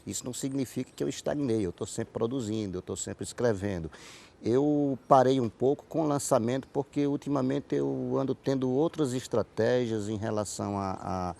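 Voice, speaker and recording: A man's voice: medium pitch, moderate pace, creaky, warm tone. A man with a Northeastern Brazilian accent giving conversational interview answers, explaining things and repeating words as he searches for phrasing. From Paraíba, northeastern Brazil. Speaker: guest, writer and playwright.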